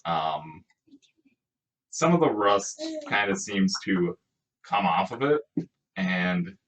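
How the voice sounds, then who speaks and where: Low-voiced game master, far from mic. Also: bright, forceful tone. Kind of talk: narrating a tabletop role-playing game. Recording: distant microphone.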